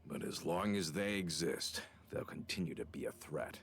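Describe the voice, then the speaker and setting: An actor playing a weary, humble aging working man in a video game, measured and polite. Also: deep voice, vocal fry, a little hiss or hum. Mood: grave.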